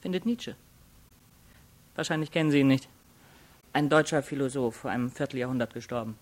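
Casting: man playing a condescending male student of nineteen, guarded, curt answers, mid-pitched voice, radio crime play. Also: brisk, lightly acted. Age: young adult. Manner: curt, self-assured, condescending. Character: guarded, haughty nineteen-year-old male law student. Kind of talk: radio crime drama acting.

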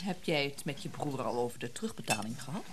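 Sharp-tongued middle-aged wife, animated pitch, sarcastic, scornful, radio drama, noisy recording. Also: female voice, quick pace. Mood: cold, needling. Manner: cutting, sarcastic. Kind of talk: naturalistic Dutch radio play acting.

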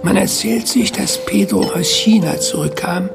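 An actor playing a sly, teasing Cuban-Chinese man in a radio drama, with a gravelly voice and drawn-out, swooping delivery. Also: sits high. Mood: sly, knowing. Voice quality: creaky, gravelly. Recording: music behind the voice.

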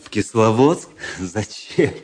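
An actor playing a proud, cynical, world-weary young aristocratic officer in a Russian radio drama, speaking in a measured, rhetorical way. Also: male voice, fairly low pitch, very animated, heavily acted. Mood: guarded.

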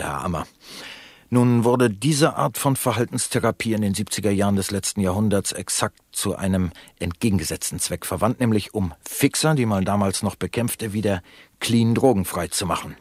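A man's voice: on the low side, normal speed, slightly rough, dry recording. An actor playing an unctuous, formal male radio show host in a satirical radio drama. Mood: smooth, formal. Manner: formal, effusive, showy. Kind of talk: radio drama acting, broadcast presenting.

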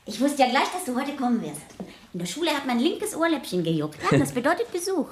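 Naive teenage girl, very high voice, eager, chatty, wheedling, radio play. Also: big pitch swings, fast, a little hiss or hum. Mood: excited, eager. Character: lively, naive, chatty teenage French schoolgirl granddaughter. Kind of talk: 1970s German radio play acting.

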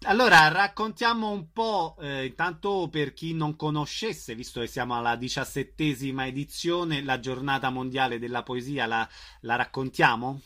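A man's voice: medium pitch, moderate pace, hardly any pauses, pushed and bright. A man speaking as a radio host in a conversational interview.

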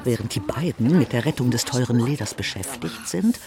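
A man's voice: medium pitch, expressive, brisk, lightly acted. A man playing a bewildered, scheming lawyer in an audio drama, with a puzzled, slightly affected manner. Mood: sly narrating. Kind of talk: dramatic acting with first-person narration. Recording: busy crowd-like background noise.

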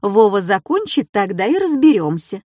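A woman voicing a composed, authoritative schoolteacher in a children's story, with measured, instructive delivery. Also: mid-range voice, big pitch swings, mellow, no room sound, studio quality. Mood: firm.

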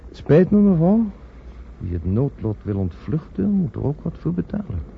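An actor playing a cool, unyielding businessman in a Dutch radio play, formal, brisk and argumentative. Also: fairly high pitch, big pitch swings, creaky. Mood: coolly refusing. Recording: muffled lo-fi old radio recording, hiss.

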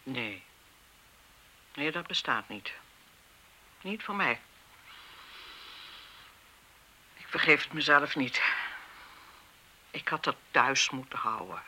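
A woman's voice: very deep voice, lively intonation, slow, pauses often, bright, forceful tone, slight background noise, heavily acted. A woman playing an embittered, guilt-ridden retired schoolteacher in a radio play, stern and lecturing in manner. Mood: guilty, bitter. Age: older. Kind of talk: Dutch radio play acting.

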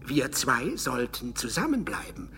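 A woman's voice: big pitch swings, a little slow, fairly bright tone, exaggerated voice. A woman playing a proud, wistful male sea kobold in a children's radio play. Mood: solemn, wistful. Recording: faintly noisy 1970s radio recording, rumble.

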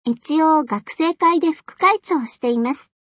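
A woman voicing a shy, earnest and flustered high school girl in a Japanese game, speaking politely, modestly and hesitantly with stammers. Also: a little slow, a bit bright, no room sound, clean studio sound. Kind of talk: Japanese game character voice acting.

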